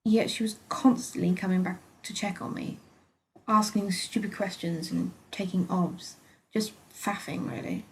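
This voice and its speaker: A woman with a British accent reading her own story aloud as an expressive first-person narrator. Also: mid-range voice, a little slow, a little hiss or hum, rough recording.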